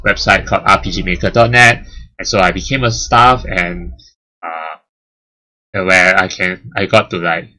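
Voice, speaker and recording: A man's voice: deep voice, steady tone, moderate pace, bright, forceful tone. A man casually chatting about his channel's history as its host, rambling with lots of fillers and restarts. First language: English and Chinese. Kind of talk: casual milestone chat.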